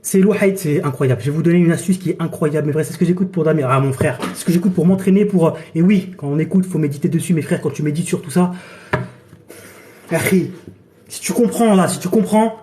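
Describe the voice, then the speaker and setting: A man with a cold and a hoarse voice, a coach chatting casually on a phone live stream and tossing in "d'accord" and "frère". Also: a little high, fast talker, warm tone, slight background noise. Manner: chatty, repeats himself, many "d'accord" and "frère". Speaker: fitness and nutrition coach. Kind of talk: casual TikTok live chat. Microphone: phone during a live stream.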